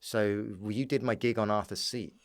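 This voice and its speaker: A man's voice: fairly low pitch, steady tone, normal speed, clean tone, dry recording. A man talking as a comedian guest in a casual chat, rambling and often repeating his words.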